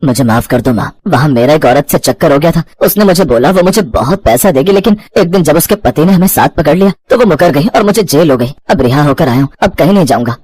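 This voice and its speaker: A man playing a selfish, scheming grown son in a story, with a husky voice. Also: fairly high pitch, expressive, fast talker, hardly any pauses, darkish tone. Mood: pleading.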